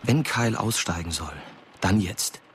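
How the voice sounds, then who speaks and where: An actor playing a grave narrator in a horror crime radio play, speaking in terse, measured sentences. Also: male voice, lowish voice, natural voice. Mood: sober, tense. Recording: loud music under the voice.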